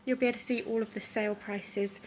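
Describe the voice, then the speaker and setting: English woman, upbeat shop-tour vlogger, higher voice, mask-muffled, handheld phone in a small shop. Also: fairly even intonation, fast talker, slight background noise. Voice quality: slightly muffled by a face mask. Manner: enthusiastic, gushing praise. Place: small gift shop indoors. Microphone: phone camera held while walking.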